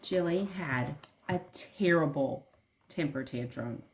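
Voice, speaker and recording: A woman preschool teacher giving an expressive read-aloud for young children, adding simple explanations and questions, with emphasis on key words. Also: sits low, slow, background noise.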